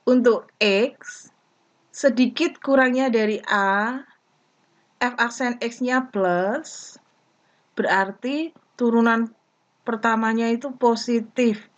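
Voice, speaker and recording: A woman giving a math lesson, explaining step by step in short, deliberate phrases. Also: on the high side. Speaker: math teacher. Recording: dull lo-fi recording.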